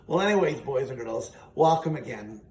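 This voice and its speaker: A man's voice: mid-range voice, animated, moderate pace, a little mellow. A man hosting a children's storytime as a ventriloquist, narrating and explaining in a folksy, patient way. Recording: echoey room, distant mic, faint noise.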